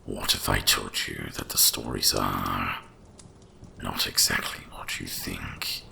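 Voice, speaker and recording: A man voicing an ancient, cursed winter dragon in a hushed ASMR fantasy monologue, with a gravelly, breathy rumble and slow, mysterious storytelling. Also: fairly even intonation, exaggerated voice. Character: ancient cursed male winter dragon, wise, once proud, brooding.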